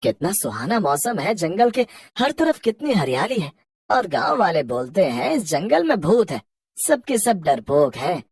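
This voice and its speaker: A woman voicing an excitable, boastful village husband with a rustic Hindi accent in a horror cartoon. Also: on the low side, unhurried, slightly rough, dry recording, studio quality, heavily acted. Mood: cocky. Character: boastful village husband, cocky but easily terrified. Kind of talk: cartoon horror story acting.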